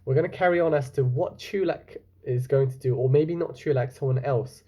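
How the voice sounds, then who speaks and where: A man's voice: mid-range voice, brisk, mellow, husky. A young male primary school teacher who reads a story aloud to his pupils. He stops to explain words and asks them questions in a friendly way. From England.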